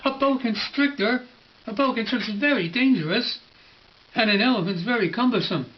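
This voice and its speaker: A man voicing a small, gentle, insistent little boy in a storybook, speaking haltingly. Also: high voice, muffled recording, pitched above the performer's own voice, close to an everyday voice. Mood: earnest, insistent.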